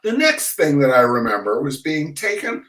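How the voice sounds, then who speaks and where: An older man hosting a reading of humorous essays, chatting casually and reading aloud in a wry, theatrical, halting manner. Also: fairly high pitch, very animated, a bit bright. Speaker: host of a weekly humor reading. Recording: roomy echo, distant microphone.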